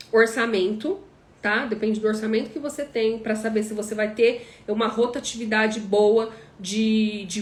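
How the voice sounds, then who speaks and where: Brazilian woman mentoring on a live, kinda high voice, hammers her points home, roomy echo. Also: a little mellow. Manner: emphatic, repeats points, asks rhetorical questions. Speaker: online course mentor. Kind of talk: live stream teaching chat.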